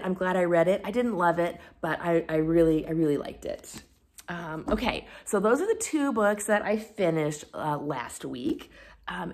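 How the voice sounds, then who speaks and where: A woman speaks in a voice pitched a little lower than usual. She talks casually, as a book reviewer addressing her viewers. Her manner is effusive and informal, with a self-deprecating streak. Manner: enthusiastic, effusive. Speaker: book reviewer and video host. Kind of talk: casual chat to camera about books.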